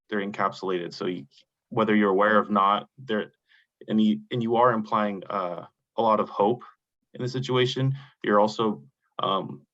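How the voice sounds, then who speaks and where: Low-voiced man rambling a question. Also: a bit bright, dull audio. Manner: rambling, searching for words. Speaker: audience member asking a question. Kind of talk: question in a seminar.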